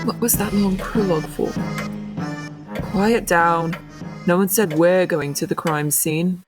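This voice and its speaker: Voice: mid-range voice, fairly even intonation, measured pace, music in the background, natural voice. An actor as an imperious, cold young boy earl. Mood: cold, stern. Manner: curt, formal, commanding. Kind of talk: scripted anime-style manga dub acting.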